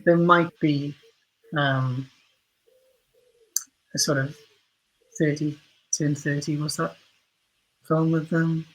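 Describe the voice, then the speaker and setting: A man as a podcast guest, talking hesitantly with many pauses and fillers. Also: a little high, flat delivery, poor audio. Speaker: guest writer. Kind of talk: casual interview chat.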